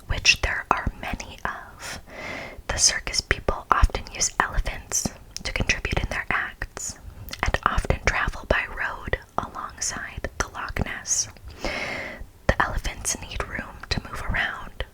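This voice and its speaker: A woman whispering as an ASMR host, softly reading from a script with casual asides. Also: low-quality recording. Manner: soft, unvoiced whispering.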